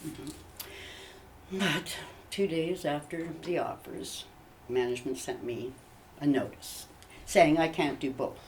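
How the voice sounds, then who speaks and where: A woman's voice: sits low, slow, pauses often, distant mic, slight background noise. A woman playing a theatrical, indignant actress typecast as witches and stepmothers, gushing dramatically in a comedy mock interview. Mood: gushing, worked up. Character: theatrical, aggrieved actress who plays witches and evil stepmothers.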